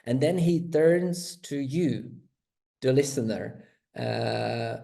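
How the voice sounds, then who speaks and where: Slow, hesitant Czech-native lecturer. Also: male voice, mid-range voice, vocal fry, warm tone. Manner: hesitant, many fillers and restarts. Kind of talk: academic conference talk.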